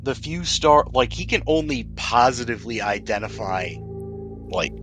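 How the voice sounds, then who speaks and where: A man's voice: mid-range voice, animated, normal speed, over music. A man acting as game master, narrating scenes and explaining rules in a tabletop game.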